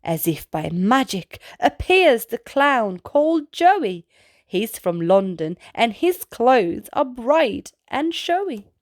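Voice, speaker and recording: A woman host reads a storybook to young children in a high, very lively voice. She takes her time over each phrase and throws out sound words as exclamations. The recording is close and dry. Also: no echo. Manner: slow, deliberate delivery for young children. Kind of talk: expressive read-aloud picture book narration.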